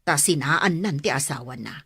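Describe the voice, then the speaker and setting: Husky, frank village Nana. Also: female voice, low voice, animated, moderate pace, bright, forceful tone, no room sound, heavily acted. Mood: frank, gossipy. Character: older village woman, frank advice-giving Nana. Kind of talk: Ilocano radio drama acting.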